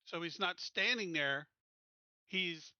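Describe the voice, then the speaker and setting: Very bright-voiced man playing a tabletop game. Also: high-pitched, slow pace, clean tone, no room sound, muffled recording. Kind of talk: casual game planning talk.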